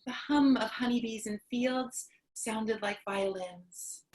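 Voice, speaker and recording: A woman's voice: fairly high pitch, takes it slowly, darkish tone, low-quality recording. A woman playing a tender mother, the last woman on earth, in a staged reading. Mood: tender, nostalgic. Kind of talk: staged reading, storybook narration.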